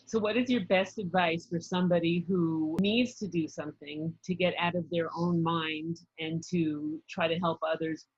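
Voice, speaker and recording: A woman's voice: lowish voice, steady tone, normal speed, few pauses, breathy edge, lo-fi sound. A woman hosting a podcast, asking questions and giving short encouraging replies. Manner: brief encouraging responses and questions. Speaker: podcast host. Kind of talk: interviewing.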